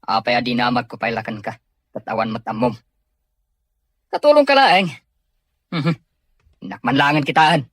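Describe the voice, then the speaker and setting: A man's voice: mid-range voice, normal speed, long pauses, fairly bright tone, husky, dry recording, studio quality. An actor playing a sneering, domineering male cousin in an Ilocano radio drama, mocking and haughty.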